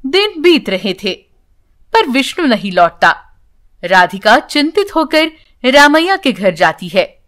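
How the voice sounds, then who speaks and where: A woman's voice: sits high, big pitch swings, slow, fairly bright tone. A woman narrating a scripted bedtime story for children as a storyteller.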